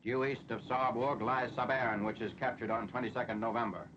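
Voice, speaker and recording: A man's voice: medium pitch, fairly even intonation, brisk, fairly bright tone, slight background noise. An American man narrating a 1940s military newsreel in a formal, matter-of-fact way. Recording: dull, lo-fi 1940s film soundtrack.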